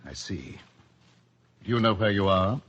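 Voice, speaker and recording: Stern professor shrink, 1940s radio drama, lowish voice, muffled old recording. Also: male voice, animated, unhurried, fairly bright tone, heavily acted. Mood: cool, probing. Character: stern, cold male psychiatrist professor in authority.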